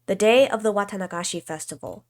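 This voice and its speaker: Stuffy-nosed woman calmly reading a visual novel. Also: mid-range voice, fast talker. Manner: calm, even-paced narration with casual asides. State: stuffy nose, slight cough. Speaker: streamer.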